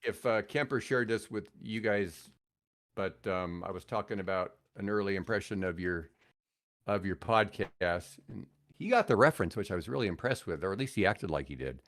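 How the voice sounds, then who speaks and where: A man's voice: low voice, animated, moderate pace, a bit bright. A man in his sixties with an American accent, chatting and telling stories casually as a podcast guest, with an opinionated manner. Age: 60s. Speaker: podcast guest, radio host. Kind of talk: casual chat, rambling storytelling.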